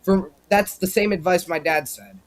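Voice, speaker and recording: A man's voice: high-pitched, normal speed. A young man chatting on a fan podcast, rambling with lots of restarts. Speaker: podcast co-host. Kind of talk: casual chat.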